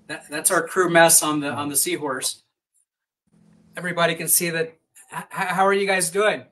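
A man in his forties giving a casual live update as a director, halting and often repeating words. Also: on the high side, steady tone, bright, forceful tone. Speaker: director of ship operations. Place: hotel room. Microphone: webcam on a video call.

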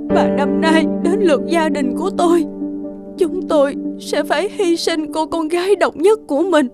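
A woman's voice: extremely high pitch, moderate pace. A woman voicing a grieving village mother in a fairy-tale cartoon, crying as she speaks. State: tearful, crying. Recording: loud music behind the voice.

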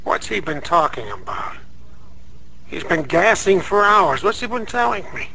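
An actor playing a meek, dreamy American night clerk in a radio drama, earnest and naive in manner, star-struck by gamblers. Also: male voice, sits high, sing-song, a little slow, vocal fry, sharp tone, slight background noise, heavily acted. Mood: puzzled musing.

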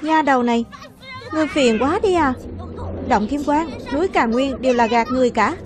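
A woman's voice: high-pitched, normal speed, crowd noise, noisy background, close to an everyday voice. A woman voicing brash, bickering young heroes in a fairy-tale cartoon dub for children. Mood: indignant. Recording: music behind the voice.

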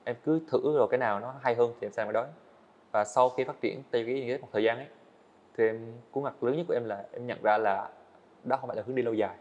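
A young man talks quickly and earnestly as a podcast guest, laying out his plans in long, casual explanations. His voice sits at an ordinary pitch. The recording sounds slightly dull. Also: darkish tone. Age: young adult. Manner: earnest, explains at length with fillers. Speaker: guest, student animator. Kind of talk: casual interview talk. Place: studio set, indoors.

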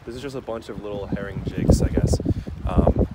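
A man's voice: lowish voice, fairly quick, very noisy. Chatty young man vlogging on a dock. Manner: upbeat, chatty, lots of fillers. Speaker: fishing vlogger. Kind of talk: casual vlog to camera. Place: outdoors on a wooden dock by the sea. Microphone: handheld camera at arm's length.

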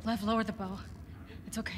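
A woman's voice: medium pitch, level tone, fast, background noise, close to an everyday voice. An actress playing a determined young woman soldier, terse and urgent, in a video game.

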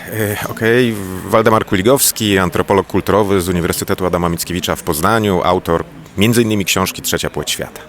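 Male academic, deep register, measured interview answers. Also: fairly bright tone, a little hiss or hum. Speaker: cultural anthropologist. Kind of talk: interview statement.

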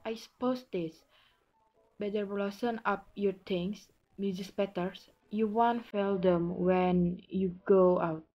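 A young woman acting a slow, halting farm wife. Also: mid-range voice, steady tone. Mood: uneasy. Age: young adult. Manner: halting, hesitant delivery. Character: wistful, sympathetic farm wife, loyal neighbour. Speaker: student actor in a class play. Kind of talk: amateur stage drama dialogue. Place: small curtained room at home. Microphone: phone or laptop at arm's length. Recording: muffled narrow-band home recording, music behind.

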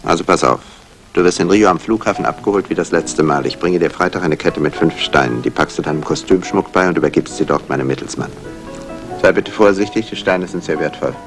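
An actor playing a cool, businesslike gem smuggler in a 1960s German crime radio play, curt and matter-of-fact. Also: male voice, low voice, fairly quick, over music.

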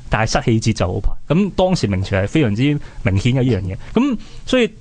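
Man, Hong Kong Cantonese accent, rapid speech, lively intonation, conversational history commentary. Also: on the low side. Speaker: radio history programme co-presenter.

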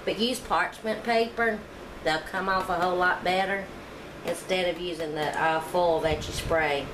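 A woman's voice: fairly low pitch, steady tone, normal speed. Chatty woman in an echoey kitchen. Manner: chatty, homey, talks to viewers while working. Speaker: home cooking vlogger. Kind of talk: casual cooking demonstration. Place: home kitchen. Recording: echoey kitchen with background noise.

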